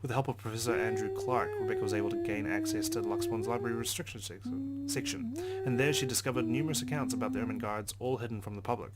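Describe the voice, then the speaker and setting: A man's voice: medium pitch, huge pitch swings, quick pace, hardly any pauses. A man reading a visual novel aloud as a let's play host, narrating expressively with casual asides. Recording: game music behind the voice.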